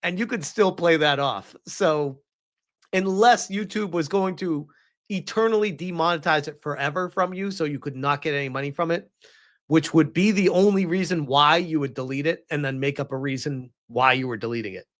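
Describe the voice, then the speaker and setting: A man's voice: a little high, normal speed, fairly bright tone. A man doing casual live reaction commentary in an incredulous, mocking and emphatic way.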